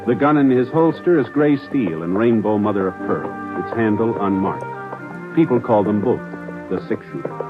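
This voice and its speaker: A solemn male announcer, played by an actor, opens a western radio play in a low, measured voice. The sound is thin and lo-fi like an old broadcast, and music plays loudly underneath. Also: lively intonation, close to an everyday voice. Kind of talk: 1950s radio drama narration.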